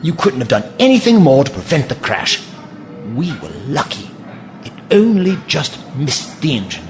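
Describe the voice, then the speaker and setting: A man playing a caring, curious alien man in an audio drama, precise and gently reassuring with dry wit. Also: on the high side, wildly swooping pitch, moderate pace, soft background music. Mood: gently firm.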